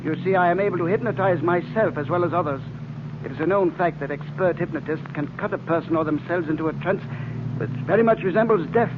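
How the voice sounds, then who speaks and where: An actor playing a suave, cunning hypnotist villain in an old radio drama, with formal, precise, condescending speech. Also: male voice, high voice, quick pace, close to an everyday voice. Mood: smug. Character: suave, cunning criminal hypnotist feigning death. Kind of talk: 1940s radio villain acting. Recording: noisy, tinny old radio recording. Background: loud vehicle noise.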